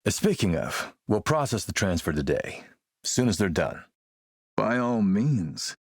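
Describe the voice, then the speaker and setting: An actor playing a ruthless middle-aged warlord in a video game, speaking in a measured, courtly, menacing way. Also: male voice, lowish voice, sing-song, no room sound, clean studio sound, exaggerated voice. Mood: cool, smug. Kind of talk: video game character voice acting.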